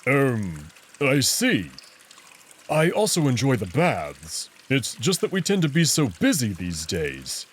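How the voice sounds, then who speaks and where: A man voicing a big, brawny, protective furry alien in a visual novel, husky and low-key, with terse, slightly awkward speech. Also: wildly swooping pitch, slow pace, full character voice. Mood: guarded, awkward.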